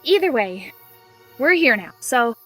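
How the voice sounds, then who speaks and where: An earnest young unicorn mare, voiced by a woman, high and fretful. The delivery swings expressively as she worries her way through a fantasy story, with music underneath. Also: big pitch swings, unhurried. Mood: hesitant. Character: earnest, anxious young female unicorn pony. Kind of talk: dramatised fan fiction reading.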